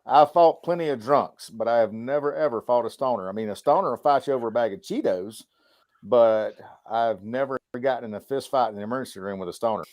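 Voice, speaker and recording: A man's voice: medium pitch, fairly quick, darkish tone, no room sound. A middle-aged American man co-hosting a casual livestream talk, laid-back and colloquial, repeating words for emphasis. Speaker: podcast co-host.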